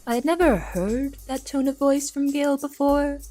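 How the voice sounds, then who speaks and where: A woman playing a wry young cook who narrates her kitchen life in an expressive, storytelling way for an audio drama. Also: high voice, normal speed, lightly acted. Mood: wry, fond. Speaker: narrator and lead character. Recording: music behind the voice.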